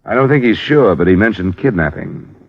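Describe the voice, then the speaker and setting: An actor playing a cool, probing American insurance investigator in an old-time radio drama, clipped and matter-of-fact. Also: male voice, lowish voice, animated, brisk. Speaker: lead actor and narrator. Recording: muffled vintage radio, music behind.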